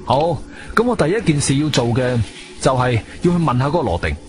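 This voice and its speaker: A man's voice: medium pitch, expressive, a little fast, natural voice. An actor with a Guangzhou Cantonese accent playing an inquisitive, self-assured investigator who tells his own story in a theatrical radio drama style. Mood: insistent. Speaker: narrator and lead actor. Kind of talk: Cantonese radio drama, first-person narration and dialogue. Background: music.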